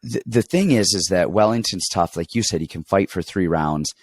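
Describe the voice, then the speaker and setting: A deep-voiced man, stuffed up and congested, rambles through a casual fight breakdown. He tells animated stories full of restarts and asides. The sound is close and dry, with no room around it. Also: normal speed, dry recording. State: congested, getting over an illness. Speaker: podcast co-host and fight coach. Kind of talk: casual sports betting chat.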